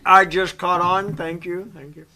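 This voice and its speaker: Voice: medium pitch, flat delivery, measured pace, pushed and bright. A host giving casual, sweary commentary while playing through a game. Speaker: host reading a game aloud.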